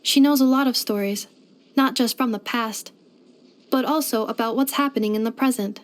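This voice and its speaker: A woman's voice: on the high side, natural voice. A woman voicing a polite, earnest female robot in a video game, speaking formally and precisely. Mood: calm, factual. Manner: formal, precise, measured delivery with clinical machine-like phrasing. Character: polite female robot maid, earnest, gentle and literal-minded. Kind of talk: scripted video game character voice lines.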